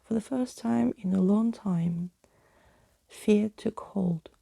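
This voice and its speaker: A woman reading a bedtime story in a soft ASMR whisper, close to the microphone. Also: mid-range voice, slow, creaky, no room sound. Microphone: close microphone, dry.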